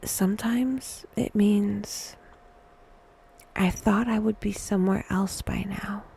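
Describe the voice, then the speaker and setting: A woman narrating a scripted guided meditation in a hushed, breathy, often near-whispered voice, with a wry, dry delivery. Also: medium pitch, steady tone, slow.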